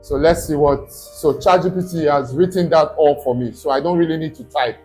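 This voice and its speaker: A man's voice: fairly high pitch, brisk, a little mellow, faint music behind. A man, a study abroad consultant, walks viewers through a screen-recorded tutorial step by step and keeps checking in with "okay?".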